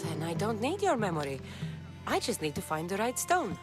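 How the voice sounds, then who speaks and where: A woman's voice: medium pitch, big pitch swings, moderate pace, natural voice. An actress playing a determined young Greek warrior woman in a video game, blunt, impatient and dryly wry. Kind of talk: video game character dialogue. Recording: music under the dialogue.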